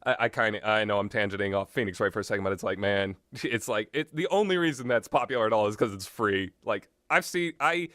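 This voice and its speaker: A man's voice: fairly low pitch, fast talker, hardly any pauses, a bit bright, dry recording, clean studio sound. A man giving a casual, unscripted, rambling review of visual novels, blunt and opinionated, often backtracking and going off on tangents.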